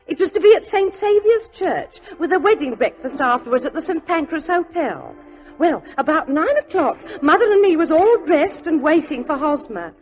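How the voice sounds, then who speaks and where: A nervous young bride-to-be in 1940s radio drama style. Her voice is extremely high and fluttery. She hesitates and stammers, naive and easily flustered. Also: lively intonation, normal speed.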